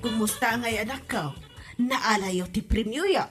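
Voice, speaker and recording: A woman's voice: fairly high pitch, unhurried, loud music behind the voice, close to an everyday voice. A woman acting a concerned, principled village mother in an Ilocano comedy drama, with an Ilocano accent.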